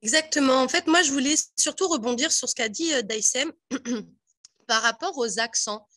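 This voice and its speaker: A woman from Paris with a Parisian French accent, chatting as a guest on a radio panel in a lively way with many fillers. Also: high voice, moderate pace. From France (Paris). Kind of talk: casual radio discussion.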